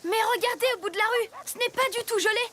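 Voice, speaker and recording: A woman voicing a plucky, lively and decisive young child superhero in a cartoon dub. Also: very high-pitched, quick pace. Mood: surprised. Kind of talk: animated cartoon dub acting. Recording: music behind the voice.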